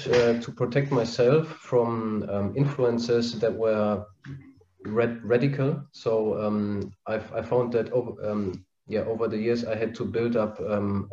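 Guy, soft dark voice, dull echoey recording, keeps searching for words in a discussion. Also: lowish voice, slight background noise. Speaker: interviewee, panel participant. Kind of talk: informal discussion, personal reflection.

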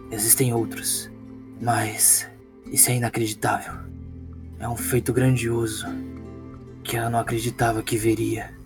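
Man voicing a reverent roleplay storyteller, Brazilian accent, grave, flat intonation, halting, soft music behind. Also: mid-range voice, lightly acted. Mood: awed, reverent. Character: solemn male storyteller awed by ancient legends. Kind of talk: in-character tabletop roleplay.

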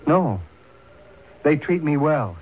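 A man's voice: a little high, big pitch swings, breathy edge, exaggerated voice. An actor playing a proud, earnest young man torn between two peoples, speaking formally in an old-time radio drama. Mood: troubled, earnest. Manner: formal, deliberate phrasing. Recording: tinny, hissy old radio broadcast.

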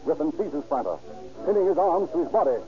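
A man reads a 1930s radio crime drama with a measured, declamatory delivery, and his voice sits a little high. The recording is muffled and old, and music plays under him. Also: very animated, warm tone. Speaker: narrator. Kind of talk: scripted dramatic narration.

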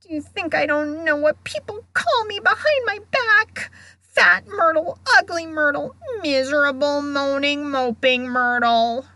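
Woman doing a glum ghost girl, high-pitched, sulky, wounded, self-pitying, storybook character. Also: unhurried, pushed and bright, no room sound, heavily acted. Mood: tearful self-pity.

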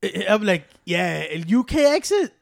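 A man chatting as a podcast co-host, fast and profane, switching between Spanish and English. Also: sits high, very animated, vocal fry, dry recording, studio quality. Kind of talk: casual chat.

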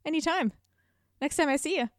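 A young woman chatting casually as a podcast guest about a video game, rambling in an animated way and often saying "like". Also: sits high, fairly quick, no room sound, clean studio sound. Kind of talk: casual chat.

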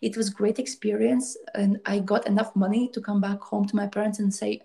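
A woman's voice: mid-range voice, vocal fry. A young woman from Latvia, telling her life story as a guest in a casual chat and acting out the voices she quotes. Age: young adult. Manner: quick, animated, acts out quoted dialogue.

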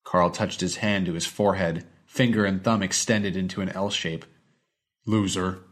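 Low-voiced man narrating a story. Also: fairly even intonation, moderate pace, fairly bright tone. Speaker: audiobook narrator.

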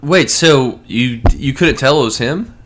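A man's voice: mid-range voice, unhurried, background noise. A man with a nasal voice trading casual, comedic banter on a podcast.

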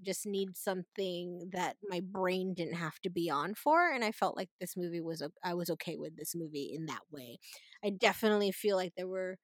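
A woman co-hosting a casual movie review chat, animated, laughing often and restarting her phrases. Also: on the low side, moderate pace, no room sound. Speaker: podcast co-host.

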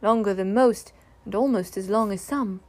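A woman's voice: medium pitch, normal speed, darkish tone, dry recording, close to an everyday voice. A woman voicing a cheerful, friendly male bear in a children's storybook, earnest and given to sing-song delivery.

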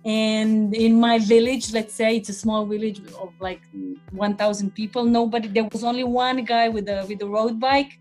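A woman's voice: a little high, level tone, moderate pace, few pauses, a little mellow, faint music behind. A woman from Croatia, a guest on an interview podcast, talking casually with many fillers and restarts.

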